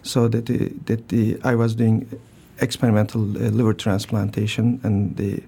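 An older man with a Turkish accent speaks in a gravelly, mellow voice. He answers radio interview questions haltingly, restarting sentences, filling pauses and often clearing his throat. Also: fairly low pitch, level tone. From Turkey. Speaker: transplant surgeon guest.

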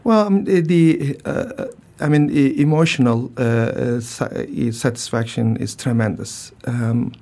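Gravelly Turkish-accented older surgeon. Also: male voice, mid-range voice, warm tone. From Turkey. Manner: halting, many fillers and restarts, frequent throat clearing. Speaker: transplant surgeon guest. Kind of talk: radio interview answers.

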